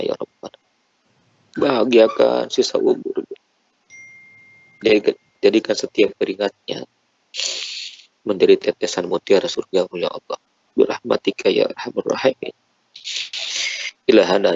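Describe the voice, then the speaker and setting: Gravelly man leading a fervent prayer. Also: fairly low pitch, fairly even intonation, moderate pace, long pauses, darkish tone, lo-fi sound. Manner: fervent, pleading, repeated invocations. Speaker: prayer leader. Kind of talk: emotional congregational prayer.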